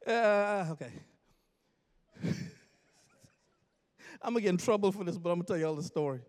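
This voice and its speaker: A man in his forties from the Bahamas preaches in a church, swinging from chatty asides to sudden shouts as he tells a story. His voice sits high for a man, and he jokes easily with the congregation. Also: normal speed. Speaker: pastor. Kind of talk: storytelling sermon. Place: church sanctuary.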